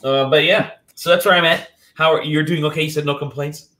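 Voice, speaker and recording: A man hosting a casual video game podcast from home, sick and a bit nasal, joking and clearing his throat often. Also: on the high side, steady tone, fairly quick, sharp tone. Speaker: podcast host. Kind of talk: casual chat. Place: home room.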